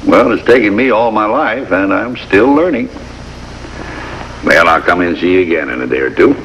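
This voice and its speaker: Deep-voiced old country doctor. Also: male voice, expressive, moderate pace, fairly bright tone. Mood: kindly, wry. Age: older. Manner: plain-spoken, wry, folksy. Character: gruff but kindly old country doctor. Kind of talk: 1950s American television drama acting. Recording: noisy vintage 1950s television soundtrack.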